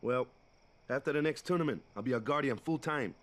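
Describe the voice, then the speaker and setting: An actor playing an easygoing, big-brotherly young island ballplayer in a video game, talking in a casual, folksy way. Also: male voice, medium pitch, a little fast, a bit bright, close to an everyday voice. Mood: subdued, confiding. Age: young adult. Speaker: guardian and older friend. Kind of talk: video game cutscene acting.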